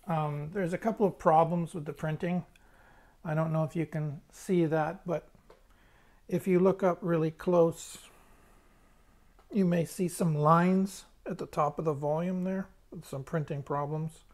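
A man's voice: a little high, steady tone, measured pace, darkish tone. A man giving a casual, hands-on demonstration as a hobbyist, thinking aloud a little hesitantly. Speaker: hobbyist restorer presenting a tutorial.

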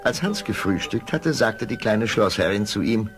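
A man's voice: lowish voice, lively intonation, moderate pace, music in the background, close to an everyday voice. A man narrating a fairy tale as the storyteller of a scripted radio play. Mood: storytelling.

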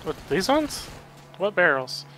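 A man's voice: high voice, very animated, a little slow, sharp tone, faint music behind, poor audio. A man giving casual gameplay commentary, reacting with grumbling and swearing as he plays. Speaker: video game player.

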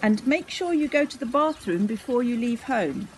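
A woman's voice: on the high side, animated, moderate pace, slight background noise, pitched above the performer's own voice, close to an everyday voice. A woman voicing an eager, bossy young grandchild from a storybook in an earnest, instructive way.

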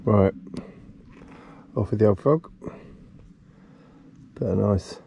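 A man's voice: lowish voice, takes it slowly, creaky, mellow, slight background noise. A middle-aged man with a bit of a cold, chatting casually and muttering to himself as he fishes outdoors. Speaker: angler filming a fishing vlog. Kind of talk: casual chat while fishing. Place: outdoors on a riverbank. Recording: outdoor action camera with background ambience.

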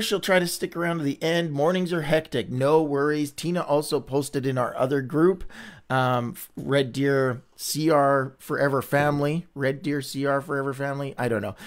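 A man hosting a casual livestream Bible reading, chatty and upbeat, greeting viewers by name, with a runny, stuffy nose. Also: medium pitch.

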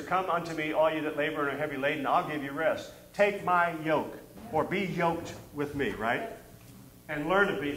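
A man's voice: on the high side, sharp tone. A middle-aged man preaching a sermon from a pulpit, reading scripture aloud and building in fervor. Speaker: pastor. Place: church stage at a wooden pulpit. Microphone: pulpit microphone. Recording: roomy church hall sound.

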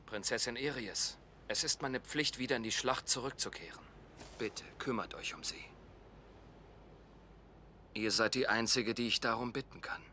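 A man playing a grieving, dutiful young knight in an audio drama, speaking in an earnest, measured, formal way. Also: medium pitch, pushed and bright, a little hiss or hum, natural voice. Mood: earnest, concerned. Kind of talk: German audio drama acting. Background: music.

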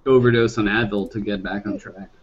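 A man's voice: fairly low pitch, steady tone, fairly quick. A man in his late twenties, a game player chatting casually, rambling with lots of fillers over a video call headset. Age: 20s. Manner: rambling, many likes. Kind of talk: casual chat. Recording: dull lo-fi call audio.

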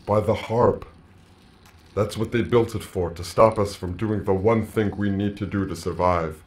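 Man as solemn low-voiced alien hive mind. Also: steady tone, a little mellow, lightly acted. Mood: grave, accusing. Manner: solemn, measured, speaks as "we". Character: ancient alien hive-mind plant colony speaking through a man, grave. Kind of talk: audio drama acting.

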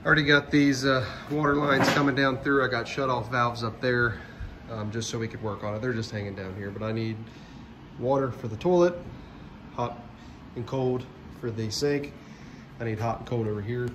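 A man's voice: medium pitch, fairly even intonation, normal speed, background noise. A man explaining plumbing work plainly and practically while working in an unfinished basement. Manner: plain, practical, matter-of-fact. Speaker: co-host doing the plumbing. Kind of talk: casual DIY explanation.

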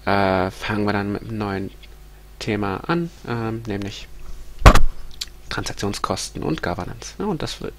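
Congested male lecturer, 30s, hesitant and halting, gravelly texture, desk mic. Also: on the low side, background noise. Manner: hesitant, many ums and restarts. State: stuffy nose from a cold. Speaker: university lecturer. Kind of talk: recorded lecture with slides. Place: small room at home.

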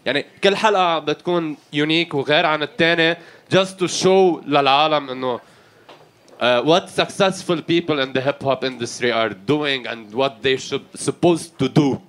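Hyped young host talking super fast, flips between arabic and english, boom mic in a small studio. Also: male voice, a bit bright. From Lebanon. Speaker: radio podcast host. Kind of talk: casual podcast interview. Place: small dim radio studio, indoors.

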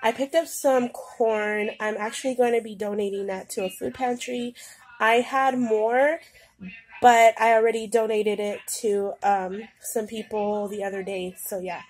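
A woman's voice: a little high, steady tone, unhurried, darkish tone, a little hiss or hum, poor audio. A woman with a cold and a stuffed-up nose, chatting casually through a grocery haul at home, rambling with lots of ums. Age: adult with a daughter. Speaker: vlogger. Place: home, indoors. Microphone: phone camera pointed at groceries.

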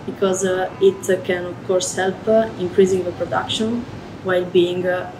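Italian woman, measured and flat-toned. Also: fairly low pitch, noisy background. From Italy. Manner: thoughtful, many pauses with uh. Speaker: winemaker. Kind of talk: interview answers. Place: indoor room by a window. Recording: echoey room.

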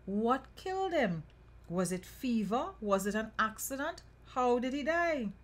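A woman's voice: fairly high pitch, very animated, a little slow, voice pushed up. A woman playing a clever, inquisitive male bird in a folk tale, with exclamatory, questioning delivery. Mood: probing.

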